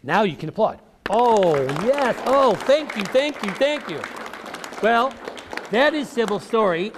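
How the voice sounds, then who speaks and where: American guy talking to a group of kids like a storyteller, really expressive swoopy voice, encouraging. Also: high-pitched. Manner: theatrical, encouraging, simple emphatic phrasing. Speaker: author and speaker. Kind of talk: storytelling talk to children. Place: public library event before children.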